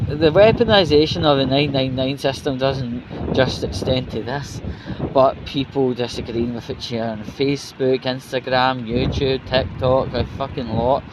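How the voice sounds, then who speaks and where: A British man giving a casual, rambling, sweary vlog rant to viewers in an indignant, sarcastic manner. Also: mid-range voice. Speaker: vlogger. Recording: dull lo-fi recording with loud outdoor traffic noise.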